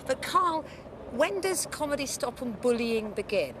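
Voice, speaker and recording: A woman's voice: high voice, big pitch swings, a little slow, background noise. A woman TV presenter interviewing guests outdoors by a river, chatty and bubbly, poking fun at herself. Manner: bubbly, chatty, self-mocking. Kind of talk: breakfast TV interview.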